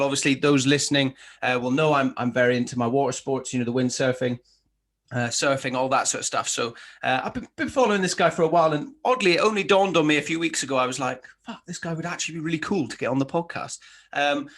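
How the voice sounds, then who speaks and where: Bright, forceful male podcast host. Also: mid-range voice. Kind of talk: casual interview chat.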